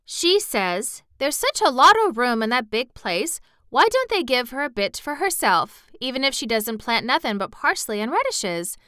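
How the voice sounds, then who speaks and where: A woman reading puts on a bright, eager young housemaid from a storybook, chattering away. Her voice sits higher and louder than the narration, crisp and smooth in a dry, close setting. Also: very animated, moderate pace, hardly any pauses, dry recording, exaggerated voice. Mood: excited. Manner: broad dialect, eager and chatty. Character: cheerful young Yorkshire housemaid, homely and talkative.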